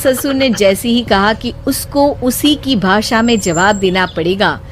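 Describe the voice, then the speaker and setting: A woman's voice: mid-range voice, a little slow, noisy background. A woman narrating a scripted moral story as a storyteller. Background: background music.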